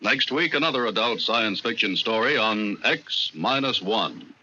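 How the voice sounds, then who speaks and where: Piercing, forceful American male announcer. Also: lowish voice, animated, measured pace, a little hiss or hum. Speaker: radio announcer. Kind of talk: 1950s radio promo announcing. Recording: dull, rough vintage radio recording.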